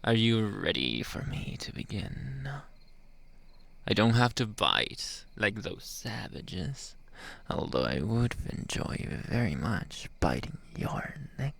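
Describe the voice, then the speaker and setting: Slow, gravelly man playing a flirty werewolf. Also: fairly low pitch, very animated, a bit bright, heavily acted. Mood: seductive, coaxing. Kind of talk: ASMR roleplay monologue.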